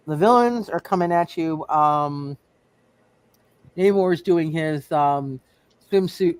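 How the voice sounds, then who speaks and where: A man acts as game master in a superhero roleplay session. His voice sits a little high and is recorded dry. He restarts and repeats phrases as he works out the rules aloud. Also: a little mellow, no room sound.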